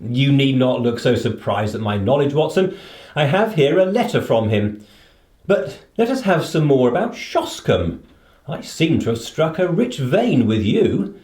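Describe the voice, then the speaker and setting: An actor playing a keenly observant, self-assured gentleman detective in a radio play, formal, precise and inquisitive, with a British accent. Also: mid-range voice, sing-song, unhurried, echoey room.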